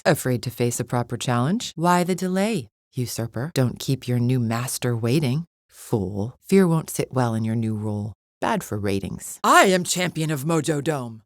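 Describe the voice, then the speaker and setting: A contemptuous female champion fighter from a video game, speaks in a strikingly deep register. Her delivery is cold, imperious and formal, full of disdain for lesser beings. The sound is close and dry, with no room around it. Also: no room sound. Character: haughty, contemptuous alien female warrior champion. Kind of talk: scripted video game character acting.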